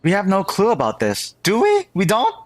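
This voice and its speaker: A man's voice: high voice, huge pitch swings, moderate pace, dry recording. A man giving casual playthrough commentary as a game streamer.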